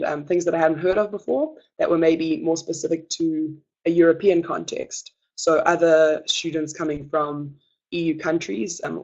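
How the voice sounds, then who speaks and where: A young adult gives a webinar presentation as a student presenter, talking in a reassuring way with many hesitant filler pauses. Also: medium pitch, darkish tone, dull audio.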